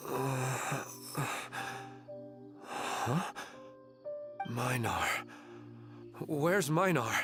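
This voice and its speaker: A man voicing a wistful, earnest husband in a video game, speaking in a halting, dazed way. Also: medium pitch, animated, music in the background.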